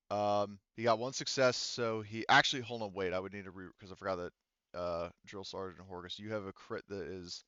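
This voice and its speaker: A man working as game master, casually narrating a sci-fi tabletop roleplaying game in a halting, think-aloud way. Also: low-pitched, fairly bright tone, clear, resonant tone, no echo, muffled recording.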